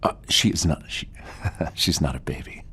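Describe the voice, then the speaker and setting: A man playing a menacing, controlling middle-aged husband in an audio drama, with a gravelly, husky voice and a domineering manner. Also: a bass voice, normal speed, exaggerated voice. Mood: irritated. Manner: domineering, swings from quiet menace to shouting. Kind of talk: audio fiction acting.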